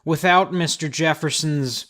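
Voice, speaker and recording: A man's voice: a little high, unhurried, fairly bright tone. A man playing a stuffy, impatient lawyer with a formal, prim manner in an audio drama. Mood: formal, clipped. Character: stuffy, impatient male lawyer opposing a will.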